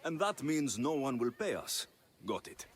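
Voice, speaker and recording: An actor playing a confident, dry-witted ancient Greek mercenary in a video game, direct and wry. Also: male voice, mid-range voice, very animated, unhurried, a little hiss or hum. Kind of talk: video game dialogue acting.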